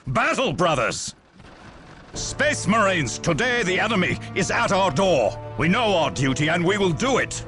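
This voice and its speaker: Rousing, animated space marine commander. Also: male voice, sits high, unhurried, over music. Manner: declamatory, commanding. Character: proud, resolute male space marine commander. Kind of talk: heroic game cutscene oratory.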